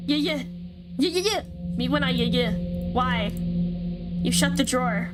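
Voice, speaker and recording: A woman's voice: high-pitched, a little slow. A woman streamer reading visual novel text aloud and reacting casually, with swearing. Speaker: VTuber game streamer. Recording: music behind the voice. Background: game music.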